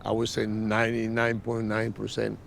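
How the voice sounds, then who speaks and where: Older guy from mexico, creaky voice, reminiscing kinda haltingly, slight rumble behind. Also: monotone. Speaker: interview guest, former soccer player. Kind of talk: casual reminiscence. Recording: faint rumbling background noise.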